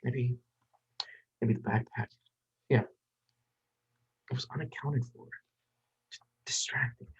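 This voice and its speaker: A performer playing a flustered, defensive young psychologist in a dramatic script reading, halting and self-questioning. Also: mid-range voice, fairly even intonation, breathy edge. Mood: muttering self-reproach. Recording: dull, muffled recording.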